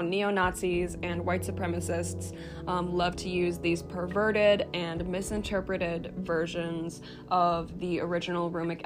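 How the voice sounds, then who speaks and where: A young woman with a stuffed-up nose explaining history in a casual talk to camera, full of ums, with sarcastic asides and some swearing. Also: lowish voice. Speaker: tarot reader and video creator. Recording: music playing underneath.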